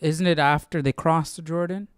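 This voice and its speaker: A man hosting a casual Bible study stream, reading aloud and thinking out loud. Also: fairly high pitch, steady tone, normal speed. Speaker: Bible study livestream host.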